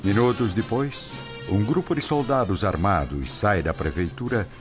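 A man with a Brazilian accent narrating a scripted radio drama in a formal, measured storytelling way. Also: on the low side, expressive. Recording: muffled recording under clear music.

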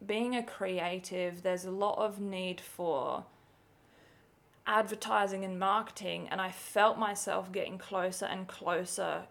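Chatty English woman with gravelly edge. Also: medium pitch, steady tone, unhurried, fairly bright tone. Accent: English with some Australian. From England. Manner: chatty, laughs often, many likes and ums. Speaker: podcast co-host. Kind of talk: casual chat.

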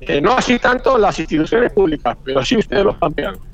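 A man in his forties from Madrid with a Castilian Spanish accent, a hotel owner giving a chatty, halting radio interview full of repeated words. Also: high voice, sing-song. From Madrid, Spain. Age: 40s. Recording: dull lo-fi radio audio.

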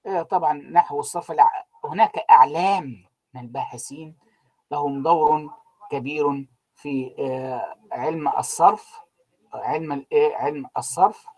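A man giving an online university lecture, trailing off so students fill in the words, with frequent drawn-out fillers. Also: a little high, darkish tone, breathy edge, low-quality recording. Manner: keeps quizzing students with unfinished sentences, many drawn-out fillers.

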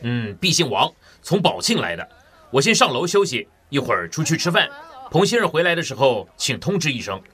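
A man's voice: mid-range voice, big pitch swings, fairly quick, bright, forceful tone, a little hiss or hum. An actor playing a big, hearty, plain-spoken man in a Mandarin audio drama, with an emphatic manner. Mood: polite.

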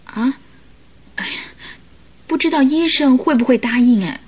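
A woman's voice: sits high, normal speed, a little mellow. An actress playing an innocent, sorrowful young woman in a radio drama, speaking politely and hesitantly. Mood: anxious, sorrowful. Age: young adult. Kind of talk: Mandarin radio drama acting.

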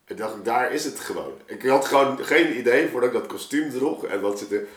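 A man's voice: mid-range voice, animated, a little fast. A man telling a story casually as a podcast guest, rambling along with plenty of colloquial tags. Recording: roomy, echoing podcast recording.

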